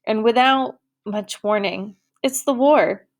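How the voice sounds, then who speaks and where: A woman doing a calm, firm young girl. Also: a little high, takes it slowly. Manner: gentle, firm.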